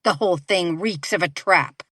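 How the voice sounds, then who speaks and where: A woman's voice: on the low side, normal speed, a bit bright, husky, dry recording, clean studio sound. A woman playing a cynical, condescending member of a secret society in an audio drama. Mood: scornful. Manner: condescending, mocking.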